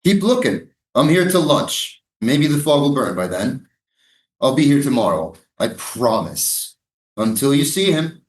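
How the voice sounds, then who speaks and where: A man's voice: medium pitch, sing-song, unhurried. A man in a staged play reading, playing a defensive, sardonic American military prison guard. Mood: terse, guarded. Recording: roomy, echoing live staged reading.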